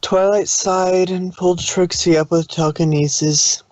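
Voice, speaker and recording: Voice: mid-range voice, fairly even intonation, moderate pace, darkish tone, dry recording. A narrator reading a scripted fan fiction story aloud, stumbling now and then. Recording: dull, muffled dry audio.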